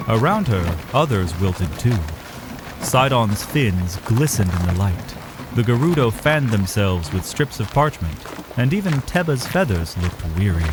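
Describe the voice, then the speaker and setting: A man's voice: mid-range voice, noisy background. A man giving dramatic, scripted narration for a fantasy audio drama as its storyteller. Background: music.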